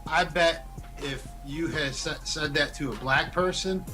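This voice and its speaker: A man, a radio personality, telling animated, profane stories in a casual chat. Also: a little high, a little slow, over music.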